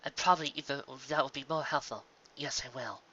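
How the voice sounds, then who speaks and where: A reader voicing a calm, wise elder pony princess with a grave, measured delivery and few words. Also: mid-range voice, dull audio. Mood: solemn.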